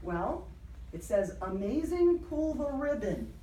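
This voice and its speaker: A woman teacher reading a picture book aloud to young children, animated and encouraging, stopping for simple questions and explanations. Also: fairly high pitch, takes it slowly. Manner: animated, encouraging, acts out sound effects. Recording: roomy, distant mic, faint background noise.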